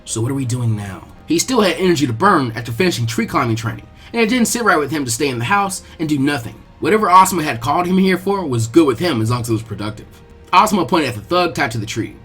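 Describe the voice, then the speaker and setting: A man reading fan fiction aloud as narrator, in an animated storytelling style. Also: on the high side, fast talker, husky, faint music behind.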